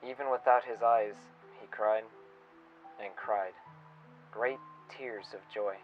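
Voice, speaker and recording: A man playing a reflective, wistful staff member recording an audio log, speaking in a halting, pensive and sincere way. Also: on the low side, fairly even intonation. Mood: gentle, solemn storytelling. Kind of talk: audio log monologue with storytelling. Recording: dull lo-fi recording with music. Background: music under the voice.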